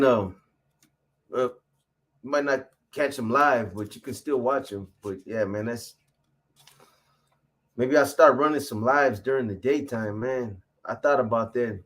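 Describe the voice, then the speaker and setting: A man's voice: mid-range voice, slow, pauses often. A man in his fifties hosting a casual livestream, answering viewer comments in a laid-back, profane, slang-heavy way. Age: 50s.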